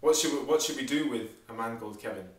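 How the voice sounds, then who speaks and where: A man's voice: mid-range voice, fast talker. A man in his late thirties giving brief, hesitant answers as a quiz contestant. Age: 30s. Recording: echoey room, distant, chatter behind.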